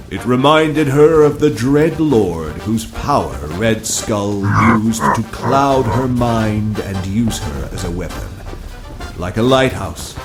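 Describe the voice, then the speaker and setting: Animated male narrator over loud music. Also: medium pitch. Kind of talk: dramatic scripted narration.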